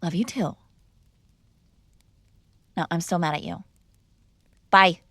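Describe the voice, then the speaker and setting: A woman's voice: on the low side, slow, gravelly, pushed and bright, dry recording, studio quality. A young woman performing an audio roleplay as a defiant, sarcastic mob boss's daughter arguing with her father. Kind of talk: scripted ASMR girlfriend roleplay.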